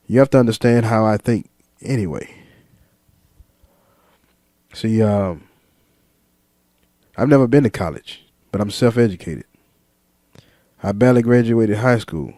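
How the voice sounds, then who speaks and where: Low, mellow man speaking slowly and deliberately. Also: dry recording, studio quality. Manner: slow, deliberate, with repeated words and fillers; lists ideas in measured single words. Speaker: vlogger. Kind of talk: reflective monologue to camera.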